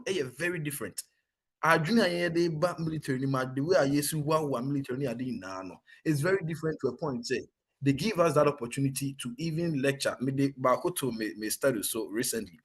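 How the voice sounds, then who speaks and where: A young Ghanaian soldier with a noticeably husky voice and a Ghanaian accent. He talks in an earnest, animated way, restarting his sentences often. His pitch sits in an ordinary middle range for a man. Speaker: serving soldier. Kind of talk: conversational interview, mixing Twi and English.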